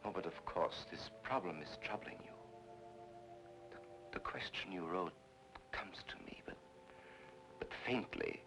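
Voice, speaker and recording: Suave sham mystic, deep voice, slow theatrical pauses, seductive, 1940s noir film, music underneath. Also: male voice, wildly swooping pitch, gravelly, in a whisper, exaggerated voice, poor audio. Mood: mystical, intense. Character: suave fake male psychic, smooth and seductive.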